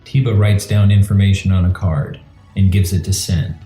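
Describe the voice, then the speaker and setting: A male narrator reads scene directions in a very low, dark voice. The sound is roomy and echoing, with music faintly underneath his scripted narration. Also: steady tone, normal speed. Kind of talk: scripted screenplay-style narration.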